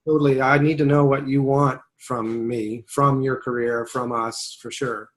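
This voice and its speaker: A man's voice: medium pitch, level tone, a little slow. A man working as a voiceover agent, talking in a casual webinar conversation, thoughtful and rambling, often restarting his sentences.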